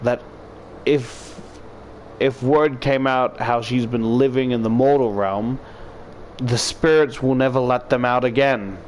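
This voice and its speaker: A man's voice: medium pitch, steady tone, unhurried, slight background noise. A man narrating a first-person story dramatically and expressively, as if reading a visual novel aloud. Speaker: visual novel reader, YouTuber. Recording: loud background music. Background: loud music.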